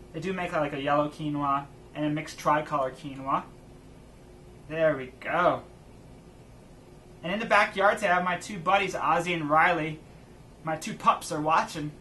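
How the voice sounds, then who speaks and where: A man in his thirties hosting an energetic, chatty cooking demo outdoors in his backyard garden. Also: fairly high pitch, distant mic, a little hiss or hum. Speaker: cooking show host. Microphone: far camera, outdoors.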